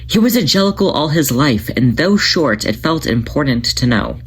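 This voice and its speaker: A man reading fan fiction aloud in an even, unhurried storytelling manner. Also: on the high side, slightly rough.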